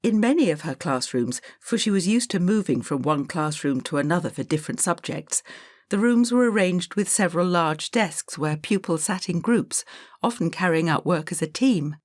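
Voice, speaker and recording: A woman narrating a children's audiobook in a calm, measured storytelling manner. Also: lowish voice, no echo, clean studio sound.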